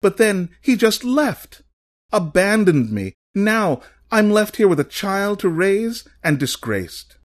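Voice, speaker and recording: Abandoned young mother read by a male narrator, wounded and plaintive, emotional, pitched well up. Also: big pitch swings, slow, full character voice. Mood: aggrieved.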